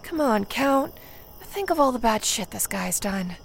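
A woman's voice: on the high side, sing-song, a little slow, gravelly, full character voice. An actress playing a sarcastic, hot-headed female monster bent on revenge in a radio drama, speaking with emphatic attitude. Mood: pleading, frustrated. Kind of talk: radio drama acting with narration.

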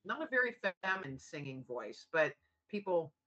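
An American woman guest on a podcast, upbeat and encouraging, with a low raspy, gravelly voice. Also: sing-song, normal speed, a bit bright, lo-fi sound. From United States. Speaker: guest, author. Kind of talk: casual chat.

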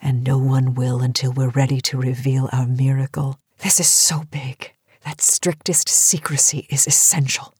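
A low, breathy voice, close and dry: an imperious cosmetics queen in a campy B-movie radio play. She is grand, secretive and commanding. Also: flat delivery, moderate pace, hardly any pauses, a little mellow, dry recording, exaggerated voice. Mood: guarded, icy. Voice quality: husky, breathy. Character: imperious, secretive female cosmetics company boss. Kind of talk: campy B-movie audio drama acting.

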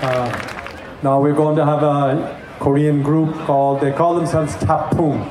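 A man's voice: a little high, level tone, echoey room, noisy background. Man announcing over a crowd. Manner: halting, with many fillers. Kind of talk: stage announcements.

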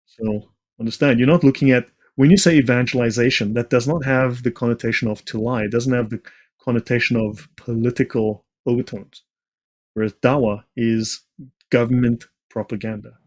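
A man's voice: mid-range voice, fairly even intonation, normal speed, a little mellow. A man speaking as an interview guest, giving explanatory commentary in an assertive, didactic way with emphatic points. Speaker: interview guest, religion researcher.

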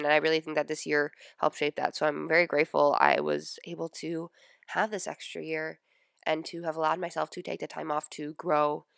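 A young woman in her twenties chats on her podcast with a congested, stuffy nose. Her lowish voice has plenty of vocal fry, and she fills her talk with "like" and "um". The sound is dry and close, with no room echo. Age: 20s. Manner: chatty, lots of "like" and "um". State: stuffy nose from a sinus infection. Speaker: podcast host. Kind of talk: casual personal podcast chat.

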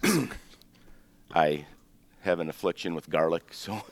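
A man in his fifties with an extremely low, rumbling voice, born in Greece and raised in the States. He tells his family story in a loose, meandering way, full of ums and restarts, as a guest being interviewed. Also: slow, dry recording. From born in Greece, raised in the United States. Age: 50s. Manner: relaxed, rambling, with frequent ums and self-corrections.

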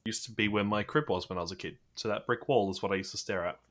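A man's voice: fairly low pitch, quick pace, a bit bright. A man from Australia co-hosting a podcast, teasing dryly in casual chat. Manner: dry, teasing. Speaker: podcast co-host.

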